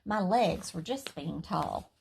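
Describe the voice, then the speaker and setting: A woman voicing an excitable, loud, cheeky little girl in a children's story, eager and emphatic. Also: fairly low pitch, takes it slowly, creaky, a little hiss or hum, heavily acted, poor audio. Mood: defensive.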